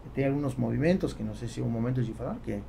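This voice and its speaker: A man in his forties with a Spanish accent in Portuguese, talking casually and haltingly as a podcast guest. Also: medium pitch, gravelly, mellow. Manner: halting, with repeated words. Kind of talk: casual interview talk. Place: small foam-padded podcast studio. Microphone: close studio microphone on boom arm. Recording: faint background noise.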